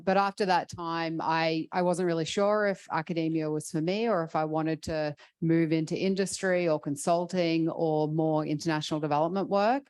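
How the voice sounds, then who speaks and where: A woman in her forties with an Australian accent, a professor on a panel, speaking in a measured, thoughtful way with frequent ums. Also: low voice, darkish tone, clear, resonant tone, no room sound, studio quality. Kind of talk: panel discussion answer.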